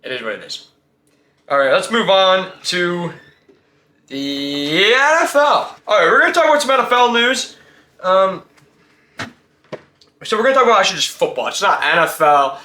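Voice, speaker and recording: Man, sports show host, sharp bright tone, slangy, opinionated, rhetorical questions, noticeably echoey recording. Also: high-pitched, very animated, normal speed, long pauses, slight background noise. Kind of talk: casual, opinionated sports commentary.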